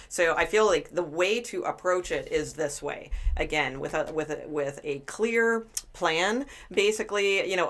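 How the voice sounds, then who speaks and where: A woman with a notably low, deep-set speaking voice reads tarot cards for a live audience. She talks in a loose, chatty way, restarting her sentences and leaning on fillers as she thinks aloud. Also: fairly bright tone. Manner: chatty and rambling, many fillers and restarts, greets viewers. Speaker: tarot reader. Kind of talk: live-streamed tarot reading.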